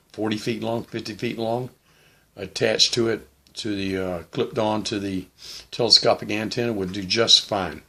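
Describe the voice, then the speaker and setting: Middle-aged man, bright forceful edge, creaky fry, casual hobby demonstration, plain-spoken. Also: fairly low pitch. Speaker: vlogger, shortwave radio hobbyist.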